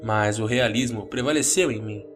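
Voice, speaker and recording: A man's voice: fairly low pitch, normal speed. A man with a Brazilian accent reading a scripted first-person horror story as narrator. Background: background music.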